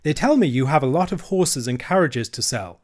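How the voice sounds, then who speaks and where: A man's voice: fairly high pitch, expressive, moderate pace, a little mellow. A man doing a polite young boy. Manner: earnest, polite.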